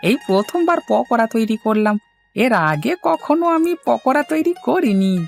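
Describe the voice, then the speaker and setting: A woman's voice: on the high side, lively intonation, normal speed, vocal fry, soft background music. A woman voicing an eager, boastful household servant, deferential yet self-assured, in a Bengali cartoon. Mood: proud.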